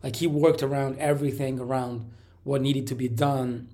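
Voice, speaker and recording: Raspy-voiced man with a cold. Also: medium pitch, steady tone, moderate pace, warm tone. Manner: rambling, many fillers and restarts. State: has a cold, coughing. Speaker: startup founder. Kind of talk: casual update vlog.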